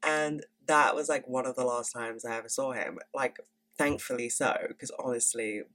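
A woman's voice: rumbling low, moderate pace, a bit bright, poor audio. A young woman in her twenties hosting a podcast in casual, candid chat, swearing freely and sounding stuffy from a cold. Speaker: podcast host.

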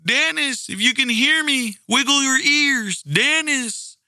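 A man playing a frantic father who keeps shouting for his lost son. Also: very high voice, slow, clear, resonant tone, dry recording, studio quality, voice pushed up, full character voice. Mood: frantic calling. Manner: shouting a name over and over.

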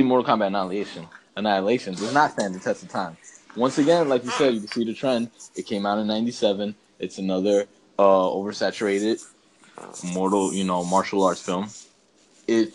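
A man's voice: deep voice, a little hiss or hum, rough recording. A man co-hosting a podcast in casual chat, ranting with emphatic, slangy, repetitive phrasing. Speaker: podcast co-host.

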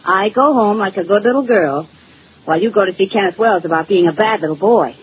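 Woman acting sarcastic girlfriend, medium pitch, dry teasing delivery, dull vintage radio sound, faint noise. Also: fairly quick, lightly acted. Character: sardonic, long-suffering girlfriend of a detective. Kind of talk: 1940s radio drama acting. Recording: muffled, noisy old radio recording.

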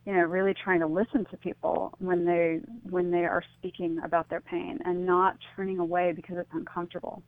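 A middle-aged American woman speaking as a radio interview guest, reflective and halting as she restarts her thoughts. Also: on the low side, dry recording. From United States. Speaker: interview guest, suicide-loss advocate. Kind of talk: earnest radio interview conversation. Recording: muffled phone-like radio line.

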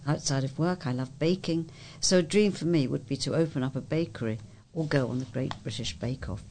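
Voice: mid-range voice, a little fast, darkish tone, slight background noise. A volunteer newsreader reading local news stories and quotations aloud. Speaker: volunteer newspaper reader.

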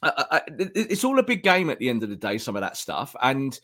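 Earnest Englishman, voice going hoarse. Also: medium pitch, huge pitch swings, a little fast, pushed and bright. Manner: earnest, opinionated, frequent restarts. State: losing his voice. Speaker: podcast co-host. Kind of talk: casual football fan discussion.

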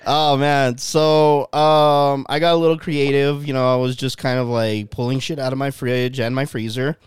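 A man with a smooth, resonant voice chats loosely on a podcast, dropping curse words and plenty of ums. His voice is close and dry, with no room sound around it. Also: mid-range voice, little pitch movement, normal speed, no room sound. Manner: loose, swearing, rambling with fillers. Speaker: podcast co-host. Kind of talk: casual podcast chat.

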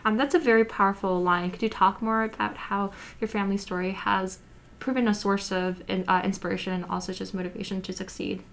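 Friendly student host asking questions. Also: female voice, mid-range voice, little pitch movement, creaky. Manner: friendly, warm praise, measured questions. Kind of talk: interview questions, partly read.

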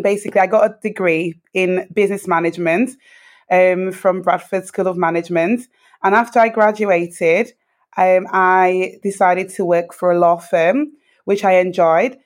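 Woman in her thirties, British accent, from Dominica, earnest, reflective, mid-pitched voice. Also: fairly even intonation. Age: 30s. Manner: earnest, thoughtful, frequent um pauses. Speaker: interview guest. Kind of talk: reflective personal storytelling.

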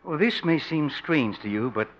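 An actor playing a polite, lonely ex-safecracker with courtly, formal manners in a 1950s radio comedy. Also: male voice, a little high, animated, normal speed, close to an everyday voice. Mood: wistful, lonely. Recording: dull lo-fi 1950s radio recording.

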